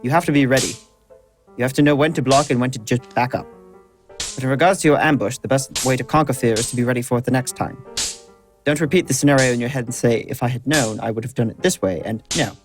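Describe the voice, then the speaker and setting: An actor playing a seasoned sword instructor in an audio drama, dry, blunt and patient, with a measured teaching manner. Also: male voice, mid-range voice, faint music behind, natural voice. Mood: firm, instructive.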